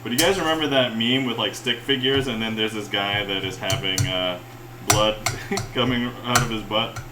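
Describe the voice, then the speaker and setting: A man hosting a cooking livestream, rambling and chuckling often as he greets viewers, with a relaxed-sounding voice. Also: fairly low pitch, normal speed, very noisy. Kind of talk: casual livestream chat while cooking. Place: home kitchen. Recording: echoey room with loud cookware clatter. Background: clinking cutlery, pots and pans.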